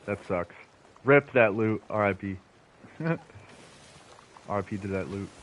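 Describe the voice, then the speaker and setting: A man giving casual, slangy gameplay commentary, excitable and often talking to himself. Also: fairly low pitch, slow, slight background noise, rough recording. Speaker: video game streamer.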